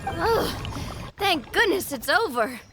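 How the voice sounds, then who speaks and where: Young female hunter, high lively voice, earnest and reassuring, anime game dialogue, faint noise. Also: slow pace, music in the background, full character voice. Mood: relieved.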